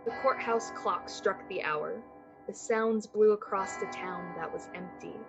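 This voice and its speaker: A woman's voice: mid-range voice, a little slow, muffled recording, over music. A woman giving scripted literary narration as the storyteller of an old-fashioned radio play.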